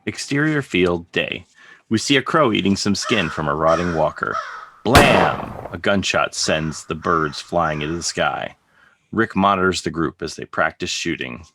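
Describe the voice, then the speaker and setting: A man's voice: deep voice, lively intonation, unhurried, pushed and bright, dry recording. A man narrating stage directions for an online table read of a comic, in a scripted style. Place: video call. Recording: online video call.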